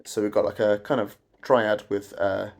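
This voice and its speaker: A man teaching a guitar arrangement in a casual, wry, self-deprecating way, his voice croaky from an oncoming cold. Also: on the low side, flat delivery, normal speed. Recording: guitar playing behind the voice.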